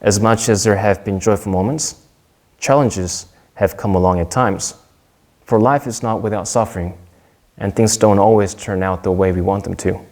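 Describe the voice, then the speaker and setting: A young man from Korea giving a prepared Dharma talk in a measured, earnest way, with a few small restarts. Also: on the low side, fairly even intonation, darkish tone.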